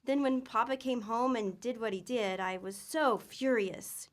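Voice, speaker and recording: A woman's voice: on the high side, measured pace, close to an everyday voice. An actress playing a sweet, earnest young woman in an old-time radio comedy, telling a story and pleading. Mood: fond.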